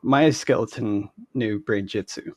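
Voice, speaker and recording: A man's voice: fairly low pitch, a little slow, darkish tone, no room sound. A middle-aged man casually recounting his tabletop game experiences as a guest player. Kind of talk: casual anecdote telling.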